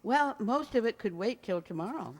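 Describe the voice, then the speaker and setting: A woman's voice: a little high, lively intonation, moderate pace. Woman doing ditzy flirty secretary, voice all over the place, radio comedy, echoey hall. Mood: eager. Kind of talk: old-time radio comedy acting. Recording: echoey hall stage recording.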